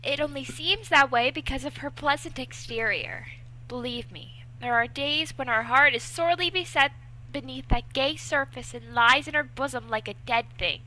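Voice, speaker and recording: Casting: actress for a scheming teen daughter in radio comedy; high, forceful, earnest and wheedling. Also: female voice, moderate pace, slight background noise, poor audio. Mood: pleading, earnest. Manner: earnest, coaxing, bossy. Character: perky, scheming teenage schoolgirl, the principal's daughter. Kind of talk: old-time radio comedy acting.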